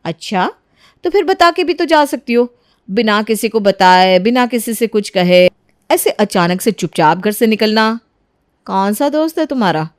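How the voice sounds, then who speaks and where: A woman as a high-voiced scheming daughter-in-law. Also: animated, moderate pace, no echo, clean studio sound, natural voice. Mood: suspicious, scolding. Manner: accusing, melodramatic, self-righteous. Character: scheming, quarrelsome young married daughter-in-law. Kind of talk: Hindi animated moral-story dramatization.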